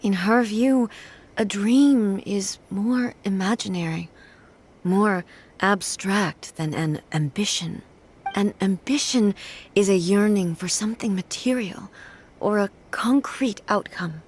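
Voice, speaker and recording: A woman playing a composed, dignified, reflective immortal ruler in a video game, formal and measured. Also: mid-range voice, darkish tone, husky. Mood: reflective, solemn. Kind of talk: video game character acting.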